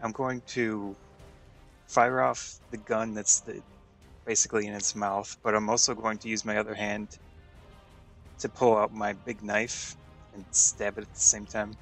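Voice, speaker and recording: A man with a fairly low voice talks casually as a player in a tabletop game. Faint music sits in the background. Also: level tone, measured pace, vocal fry, a bit bright.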